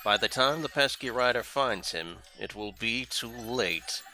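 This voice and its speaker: A man's voice: mid-range voice, animated, a little slow, bright, forceful tone. A man playing a servile alien henchman, eager to please, reporting to his lord in an audio drama.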